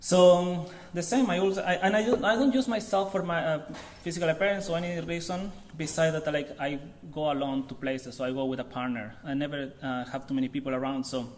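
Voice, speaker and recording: A man's voice: on the high side, fairly even intonation, slight background noise. Chilean man in his thirties giving an artist talk. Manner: halting, informal, searching for words. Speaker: visiting artist.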